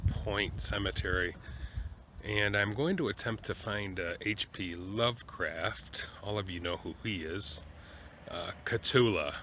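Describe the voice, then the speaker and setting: A man talking casually to camera as a travel vlogger, informal and halting, thinking aloud as he goes. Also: low-pitched, pushed and bright, a little hiss or hum. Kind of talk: casual vlog talk to camera. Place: outdoors in a cemetery for much of it.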